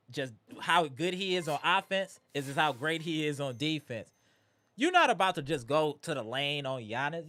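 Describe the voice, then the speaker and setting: A man hosting a live postgame basketball reaction stream, animated and greeting viewers in the chat, his voice strained from yelling. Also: a little high, no room sound. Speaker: livestream host.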